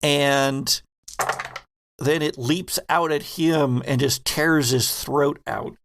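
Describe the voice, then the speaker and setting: A man's voice: on the high side, steady tone, slow, background noise. A man narrating a horror sci-fi tabletop game as game master, with wry, vivid description.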